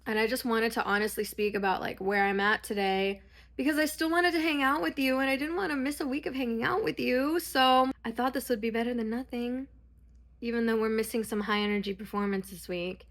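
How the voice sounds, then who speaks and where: A woman's voice: fairly high pitch, steady tone, brisk, a bit bright. A woman in her thirties, congested with a sore throat, chatting casually and candidly as in a personal vlog. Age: 30s. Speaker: dance and performance vlogger.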